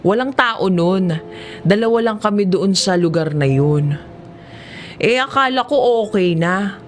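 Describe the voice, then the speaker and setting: Filipino woman, lowish voice, unhurried audiobook storytelling, conversational and dramatic, clear music underneath. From Philippines. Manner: conversational, acts out dialogue and inner reactions. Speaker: story narrator. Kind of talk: first-person dramatic storytelling in an audiobook manner. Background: music behind the voice.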